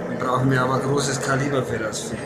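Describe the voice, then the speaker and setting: A man playing a gleeful, trigger-happy trophy hunter in an amateur radio play, chatting in slangy, excitable dude talk. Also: mid-range voice, fast, close to an everyday voice. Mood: easygoing, pleased. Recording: roomy, loud background noise and music.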